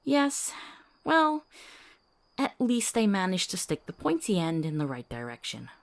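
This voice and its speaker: A woman's voice: mid-range voice, wildly swooping pitch, a little slow. A woman playing a principled, capable healer in a fantasy drama, articulate, authoritative and dryly witty. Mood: wry. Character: firm, principled female healer, capable and wry. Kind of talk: fantasy audio drama acting.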